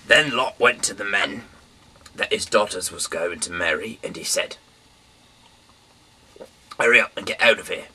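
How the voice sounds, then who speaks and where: Male, low but forceful voice, informal dramatic scripture reading, recorded at a distance. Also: a little slow, pauses often. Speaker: Bible reader. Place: small dim room indoors. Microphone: camera mic from a little way off. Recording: distant mic, faint background hiss.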